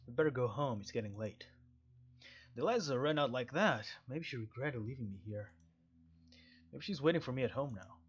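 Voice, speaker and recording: A man's voice: medium pitch, animated, brisk, pauses often, husky, dull audio. A man playing a troubled, defensive male detective, brooding through visual novel dialogue.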